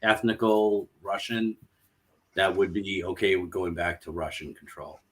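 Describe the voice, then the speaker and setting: A man with a somewhat low voice, speaking in a casual podcast chat. He explains points in a matter-of-fact way, pausing on uh and um as he goes. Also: fairly even intonation.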